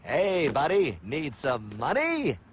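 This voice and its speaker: Gullible cartoon fellow, high swooping voice, slow astonished delivery, very noisy track. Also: male voice, huge pitch swings, full character voice. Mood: amazed. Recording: loud noise behind the voice.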